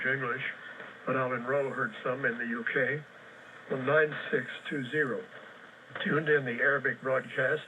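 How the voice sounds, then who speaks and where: Bright-voiced man on a distant shortwave relay. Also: medium pitch, lo-fi sound. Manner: brisk, matter-of-fact delivery with dry sarcasm. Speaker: shortwave radio news host. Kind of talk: reading listener reports and frequency listings. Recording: distant, faintly noisy shortwave radio relay.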